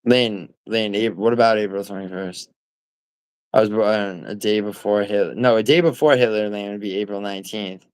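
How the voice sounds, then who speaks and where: A deep-voiced man chats loosely to his livestream viewers. His low tone stays smooth and clean. He rambles, swears often and trips over his own restarts, all on a dry, close sound. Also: steady tone, moderate pace, no room sound, low-quality recording. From New York City. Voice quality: nasal. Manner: rambling, profane, full of fillers and restarts. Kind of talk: casual livestream chat, reading viewer comments.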